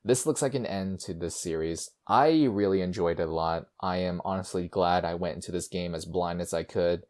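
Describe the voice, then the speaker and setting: A man's voice: sits low, normal speed, darkish tone. A man hosting a gaming video, giving casual, informal playthrough commentary and reading aloud. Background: music.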